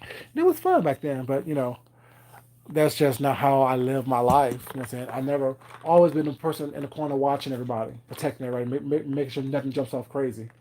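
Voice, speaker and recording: A man's voice: mid-range voice, expressive, fast, mellow, a little hiss or hum, rough recording. A man, chatting casually on a live stream, rambling with lots of fillers and laughing often. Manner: rambling, many fillers and "you know what I'm saying"; laughs often, teasing viewers. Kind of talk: casual chat.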